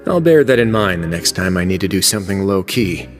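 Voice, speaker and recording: An actor playing a laid-back, wry prison warden in a video game, who speaks calmly with dry, understated humor. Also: male voice, low-pitched, brisk, faint music behind.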